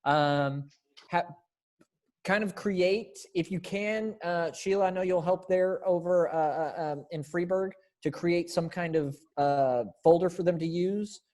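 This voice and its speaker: Male, slightly high voice, group director on a video call, informal and encouraging, checking in with everyone. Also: measured pace, darkish tone. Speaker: director of a readers theater group. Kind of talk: casual video-call rehearsal planning.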